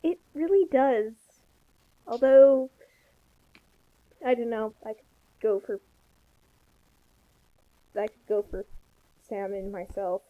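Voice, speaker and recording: A woman's voice: fairly high pitch, fairly even intonation, slow pace, long pauses, phone-quality sound. A woman chatting casually as a co-commentator on a comedic reading. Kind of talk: casual chat.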